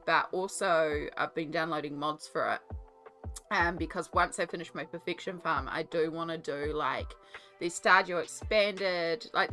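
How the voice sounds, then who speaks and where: An Australian woman with a cold, her voice lowered and coughing, chatting casually and rambling with many ums while she colours on a stream. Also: bright, forceful tone. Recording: faint music behind.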